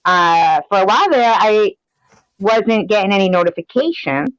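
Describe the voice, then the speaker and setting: A woman hosting a casual live-stream chat, chatty and quick to laugh, sounding tired. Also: medium pitch, lively intonation. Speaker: host. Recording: dull lo-fi call audio.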